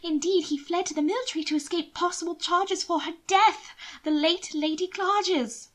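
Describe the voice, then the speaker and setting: Woman acting high, urgent, genteel young lady. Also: fairly even intonation, normal speed, a bit bright. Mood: grave warning. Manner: urgent, dramatic, coaxing. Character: anxious, sly young genteel lady, protective sister. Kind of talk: audiobook character dialogue.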